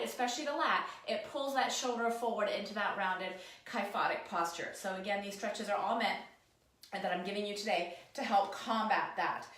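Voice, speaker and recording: A woman with a cold-roughened voice, casually talking viewers through stretches at a work desk while she demonstrates them. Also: a little high, fairly bright tone, heavy reverb. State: hoarse from a cold. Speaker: posture and ergonomics instructor. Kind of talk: guided stretching lesson, talking to camera. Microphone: camera mic, sometimes turned away.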